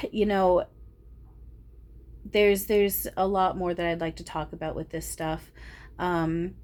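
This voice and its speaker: A woman in her thirties, a channeler talking casually and meanderingly about spiritual experiences, with many ums and restarts. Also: on the low side. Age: 30s.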